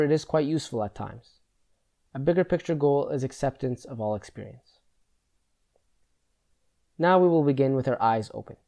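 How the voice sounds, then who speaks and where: A man leading a guided meditation, giving slow instructions in a measured, gentle, instructional manner. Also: fairly high pitch.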